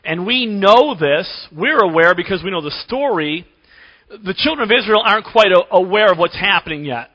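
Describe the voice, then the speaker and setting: A pastor preaching a sermon to his congregation while losing his voice, often clearing his throat. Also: sits high, lively intonation, moderate pace. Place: church sanctuary. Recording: dull recording in a reverberant church.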